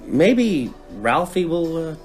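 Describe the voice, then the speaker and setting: An actor playing a wry, guarded novelist, casual and dry-humoured, in a conversational radio drama. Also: male voice, a little high, sing-song, slow pace, a little mellow, faint music behind.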